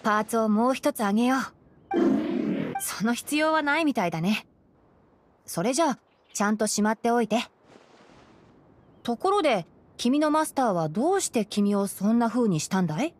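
A woman playing a composed, knowledgeable young fox-eared male forest ranger in a Japanese game, explaining things in a measured way. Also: fairly high pitch, lively intonation. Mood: calm, kindly. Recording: game music behind the voice. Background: background game music.

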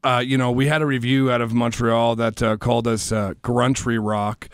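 A man from Canada, a band member guest on a radio show, talking casually with many pauses and fillers. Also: medium pitch, level tone, dry recording, studio quality. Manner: hesitant, full of uh and I mean.

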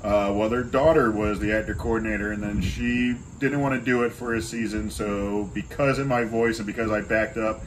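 A middle-aged man with a fairly low voice answers questions plainly and agrees with emphasis. He says his voice is loud. He is recorded outdoors at night, with a faint background hum. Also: fairly even intonation, normal speed, few pauses. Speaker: guest, haunt actor coordinator. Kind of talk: casual interview answers. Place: outdoor covered porch at night.

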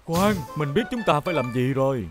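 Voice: medium pitch, lively intonation, brisk, music in the background, natural voice. An actor voicing an apologetic, helpful talking construction truck in a dubbed children's cartoon, speaking earnestly. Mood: eager.

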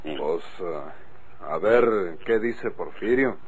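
A man's voice: low voice, lively intonation, slow, husky, exaggerated voice. An actor playing an outspoken, cautioning countryman in an old radio drama, with a northern Mexican accent.

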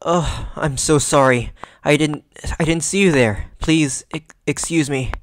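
A man's voice: fairly high pitch, measured pace, no echo, studio quality, close to an everyday voice. A man voicing a meek, apologetic anime boy who hesitates and stammers. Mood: nervous.

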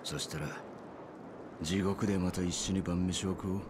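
An actor playing a weary, wry wandering man in a Japanese video game, with a gruff, laid-back and reflective manner. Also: deep voice, fairly even intonation, normal speed, music in the background, close to an everyday voice. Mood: wistful, resolute.

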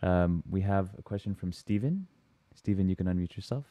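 A man hosting a video-call chat, relaxed as he reads out audience questions, wearing a headset. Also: deep voice, a little fast, no room sound, lo-fi sound. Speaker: host. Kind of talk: moderating questions.

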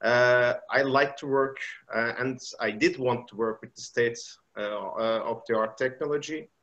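A man's voice: mid-range voice, unhurried, a bit bright. A man from Turkey, a university professor, presents a webinar lecture in a hesitant, stop-start way, with plenty of fillers. His voice sits mid-range for a man. The video call audio is dull and muffled. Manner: hesitant, many uh fillers and restarts. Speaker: pharmacy professor giving a webinar talk.

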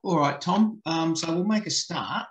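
A man's voice: fairly high pitch, a little slow, over loud music. Male host on a headset video call, roomy echo, casual and matey. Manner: friendly, informal, says mate, hesitant fillers. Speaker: podcast host. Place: home office indoors.

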